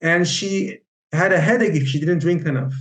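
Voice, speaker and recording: Israeli man giving a halting lecture. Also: fairly high pitch, fairly bright tone, reverberant. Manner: halting, frequent fillers and restarts. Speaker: Chinese medicine practitioner and lecturer. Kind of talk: online lecture with slides. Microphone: video call.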